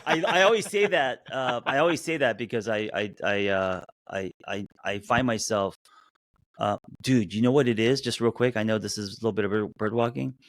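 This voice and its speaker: A man in his fifties co-hosting a podcast, chatting casually in an animated, rambling way with many restarts. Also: lowish voice, a little fast, dry recording. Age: 50s. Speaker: podcast co-host. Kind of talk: casual chat.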